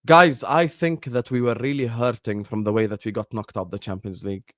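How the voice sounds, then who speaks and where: A man's voice: medium pitch, steady tone, brisk, no room sound, clean studio sound. A man from Jordan whose first language is Arabic, hosting a football live stream in a combative, repetitive way. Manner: combative, repeats phrases, fillers like "you get me". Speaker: football channel host.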